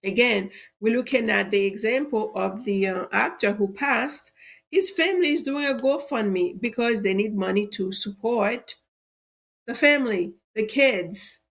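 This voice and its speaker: A middle-aged woman who sells insurance, hosting a live show and sounding stuffy and a bit cracky from allergies, making a persuasive pitch that leans on "right?" as a tag. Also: a little slow, creaky, a bit bright. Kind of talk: live-stream sales talk.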